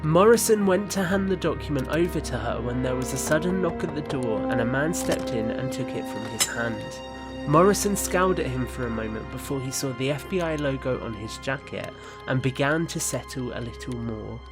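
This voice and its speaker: A man's voice: medium pitch, animated, moderate pace, music in the background. A man narrating an audio drama in a scripted storytelling style. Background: musical score.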